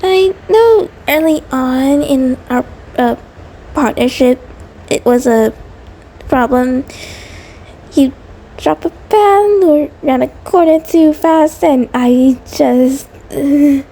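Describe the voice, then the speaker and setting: High-voiced anxious centipede girlfriend, acted by a woman. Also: slow pace, warm tone. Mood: anxious, pleading. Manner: nervous, hesitant, stammering, rambling. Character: anxious, devoted female mutant centipede partner, sweet and neurotic. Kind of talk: intimate scripted audio roleplay, ASMR-like.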